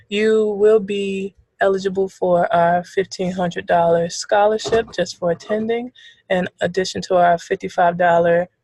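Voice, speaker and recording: A woman moderates a webinar over a video call, reading out questions and reminders. She speaks in a friendly, relaxed way with many small fillers. Her voice sounds close and dry. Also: fairly low pitch, dry recording.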